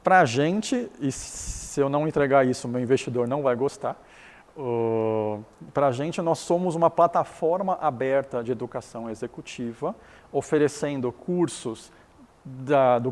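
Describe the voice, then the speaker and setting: A man's voice: mid-range voice, darkish tone, in a large hall. A middle-aged man from Taiwan whose first language is Chinese, explaining his business in an interview, often restarting and repeating words.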